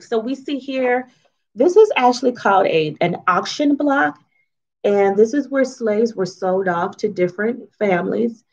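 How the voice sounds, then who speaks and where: A woman's voice: medium pitch, dull audio. Woman reading aloud to children, teacherly. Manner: teacherly, asks questions and checks in often. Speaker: children's author and storyteller. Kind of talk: picture book read-aloud with teaching asides for children.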